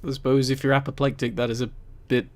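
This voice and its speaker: A man's voice: medium pitch, little pitch movement, fast talker, darkish tone, a little hiss or hum. A man on a headset mic, reading aloud on a casual livestream with rambling, amused asides. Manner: amused, giggly, rambling commentary. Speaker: streamer reading a book aloud to live chat.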